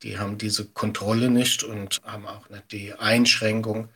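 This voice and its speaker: A middle-aged man giving measured, practical explanations as an expert guest in an interview. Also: fairly low pitch, flat delivery, a bit bright, breathy edge. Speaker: media education expert, podcast guest.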